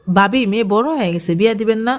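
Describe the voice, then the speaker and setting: A gushing visiting auntie in a cartoon voiced by a woman chatters quickly. Her voice is high and fast, with clear noise behind it. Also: lightly acted. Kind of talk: cartoon voice acting.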